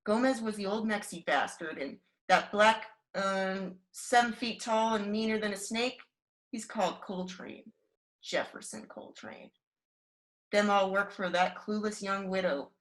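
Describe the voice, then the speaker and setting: A woman's voice: mid-range voice, a little slow, close to an everyday voice. An American actress playing a bloodthirsty young outlaw woman in a Western radio drama, taunting and crude in folksy frontier phrasing. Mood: matter-of-fact.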